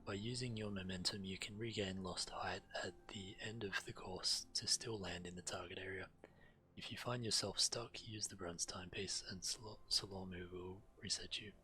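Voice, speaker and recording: A man streaming a game, reading quest text aloud in a measured, deliberate way while he plays. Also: low-pitched, steady tone, sharp tone, a little hiss or hum. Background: fantasy game music.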